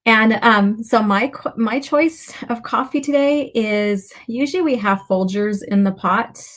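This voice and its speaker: A woman with a slightly raspy voice hosting a casual live chat, talking to viewers in a chatty way with pauses and asides. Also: a little high, a bit bright. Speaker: livestream host.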